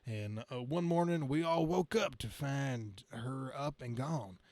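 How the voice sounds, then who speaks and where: A man's voice: medium pitch, a little mellow, no room sound, lightly acted. Man putting on a folksy trucker hero. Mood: earnest. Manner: folksy, halting over slang.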